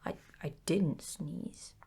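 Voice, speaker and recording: A woman's voice: slow, warm tone, lightly acted. A woman voicing a self-important, wordy owl who explains patiently in a children's bedtime story. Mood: mildly indignant. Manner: pompous, explaining, with small hesitations.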